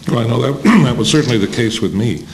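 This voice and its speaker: A man's voice: mid-range voice, lively intonation, fast. An older man interviewing a guest in a conversational way. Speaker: interviewer, radio broadcaster. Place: plain room, seated at a table before a small audience. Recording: faintly noisy amateur camcorder recording.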